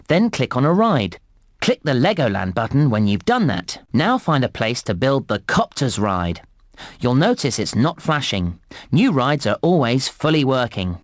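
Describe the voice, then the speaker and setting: A man playing a friendly theme park guide in a children's game, giving tutorial instructions in an enthusiastic, encouraging and clearly instructive way. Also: mid-range voice, no room sound, studio quality, lightly acted. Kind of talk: scripted video game tutorial narration.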